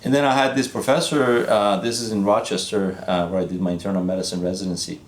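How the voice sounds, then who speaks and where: A man in his fifties, originally from Iran, speaking as a physician guest in a relaxed interview, reflective and halting, with frequent restarts. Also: low-pitched, echoey room. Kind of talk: casual interview conversation.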